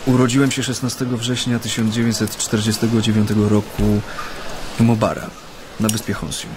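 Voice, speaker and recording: An actor in a radio play voicing an earnest, weary Japanese activist in his thirties, speaking intimately and sometimes haltingly. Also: fairly low pitch, little pitch movement, background noise, lightly acted. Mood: reflective. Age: 30s. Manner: hesitant, halting when addressing people. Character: deported Japanese man, Solidarity activist, earnest and weary, recounting memories. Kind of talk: radio play acting, intimate first-person narration.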